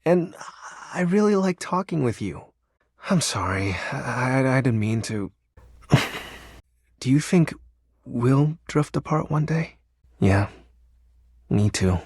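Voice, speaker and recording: Lonely gloomy darkness spirit from a video game, super dramatic, pitch swings all over, very dry close sound. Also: male voice, expressive, slow, pauses often, a little mellow, husky, dry recording, full character voice. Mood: lonely, apologetic. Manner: theatrical grandiose villain speech slipping into awkward, wistful sincerity. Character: brooding, lonely, melodramatic male personification of darkness. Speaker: voice actor.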